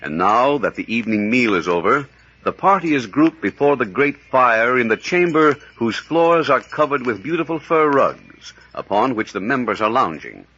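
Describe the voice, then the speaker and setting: An actor as the announcer and narrator of a 1940s radio adventure serial, portentous and declamatory. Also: male voice, mid-range voice, big pitch swings, moderate pace, bright, forceful tone, slightly rough, heavily acted. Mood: suspenseful. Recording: muffled vintage 1940s radio recording.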